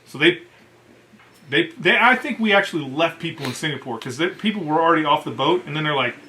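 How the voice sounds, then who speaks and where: A middle-aged American man, a veteran, casually telling profanity-laced service stories in an animated way, acting out what others said. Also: on the high side, fast talker, slight background noise. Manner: animated, profane, acts out quoted dialogue. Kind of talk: casual anecdotal storytelling.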